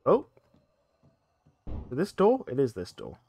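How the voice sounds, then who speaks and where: A man narrating his own gameplay in a relaxed, rambling way. His nose is a little blocked from a cold, which gives his voice a stuffed-up sound. He talks into a desk microphone, mid-pitched and conversational. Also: measured pace, gravelly. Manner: chatty, thinks aloud while playing. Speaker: let's play gaming host. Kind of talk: casual gameplay commentary.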